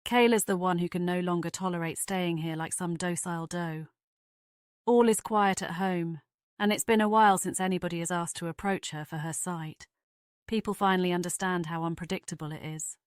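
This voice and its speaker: Woman as resolute, clear-voiced fantasy daughter. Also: on the low side, fairly even intonation, a little fast, dry recording, studio quality, lightly acted. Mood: firm, persuasive. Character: resolute daughter pleading her sister's case. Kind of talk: audiobook drama acting.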